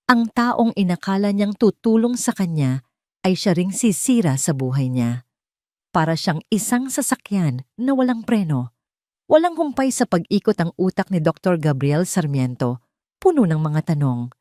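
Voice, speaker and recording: Female narrator for dramatic audio story, lower register, dry close sound. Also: mellow, no echo.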